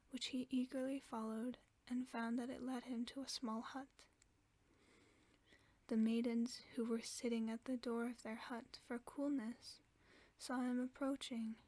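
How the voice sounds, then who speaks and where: A woman reading a fairy tale aloud for sleep in a soft, steady whisper. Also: a little high, no room sound. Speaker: ASMR storyteller.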